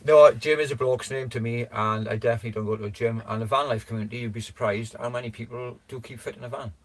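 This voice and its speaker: A man's voice: medium pitch, fairly even intonation, fast. Male streamer, casual and laughing, slight cough. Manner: jokey, laughs often, reads viewer comments. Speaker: live stream host. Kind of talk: casual live stream chat.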